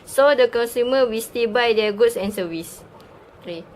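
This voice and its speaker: A woman's voice: fairly high pitch, moderate pace, a little hiss or hum. A young woman acting as a student, presenting and answering in class.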